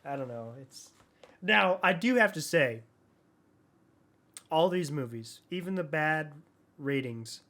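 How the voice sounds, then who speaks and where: A man with a fairly high-pitched voice talks as a podcast co-host. His delivery is conversational and informal, wandering from one thought into the next. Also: animated, slow, long pauses, pushed and bright. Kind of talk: casual chat about movies.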